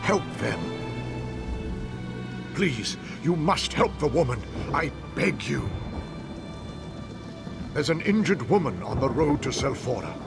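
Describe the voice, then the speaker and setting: Grave pleading stranger, gravelly, swooping intonation, fantasy game, loud music behind. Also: male voice, medium pitch, very animated, exaggerated voice. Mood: pleading, urgent. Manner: slow, imploring. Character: grave, pleading male stranger urging help. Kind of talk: fantasy video game voice acting.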